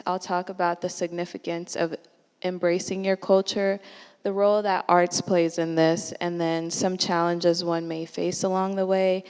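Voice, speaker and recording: Young American woman, clear voice, echoey hall. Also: on the low side, a little mellow. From United States. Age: young adult. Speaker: student presenter. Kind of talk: presenting slides at a forum.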